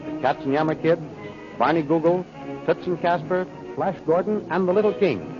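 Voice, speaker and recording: A man's voice: fairly high pitch, expressive, moderate pace. A man announcing and narrating a 1930s radio serial in a formal, dramatic style. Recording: muffled old-time radio recording. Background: music.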